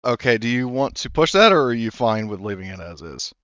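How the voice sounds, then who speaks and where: A man's voice: mid-range voice, sing-song, brisk, a bit bright, no room sound, lo-fi sound. A man running a tabletop roleplaying game as game master, talking loosely with many fillers.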